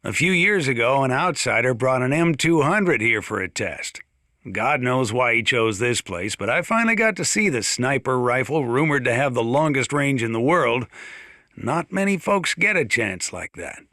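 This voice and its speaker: A bright-voiced folksy sheriff. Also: male voice, medium pitch, lively intonation, normal speed, no echo, studio quality. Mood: fond, chatty. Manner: folksy, chatty, enthusiastic. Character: folksy gun-loving sheriff, genial and opinionated radio talker. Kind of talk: scripted radio monologue, folksy instructional commentary.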